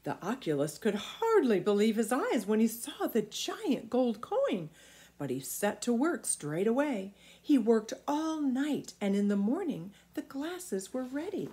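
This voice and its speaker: A middle-aged woman reading a picture book aloud to children in expressive narration, recorded in a room at home. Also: on the high side, a little slow. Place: small indoor room at home.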